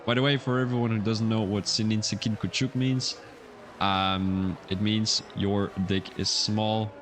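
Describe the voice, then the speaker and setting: A young man giving casual livestream commentary on a sim racing league, chatting loosely and then getting excited when calling incidents. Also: on the low side, measured pace, slight background noise. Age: young adult.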